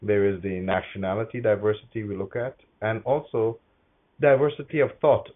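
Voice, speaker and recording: Low-pitched man, measured and hesitant. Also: steady tone, narrow, tinny audio. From Guyana. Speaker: interview guest, corporate HR executive. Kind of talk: professional interview answers.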